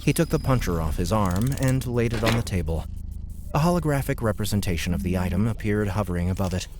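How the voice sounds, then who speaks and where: A man's voice: fairly low pitch, lively intonation, quick pace, background noise. A man reading scripted fiction narration as an audiobook narrator.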